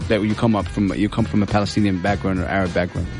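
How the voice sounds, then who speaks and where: A man hosting a radio interview, speaking haltingly with many restarts. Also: sits low, steady tone, vocal fry, a little mellow, soft background music. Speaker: radio host.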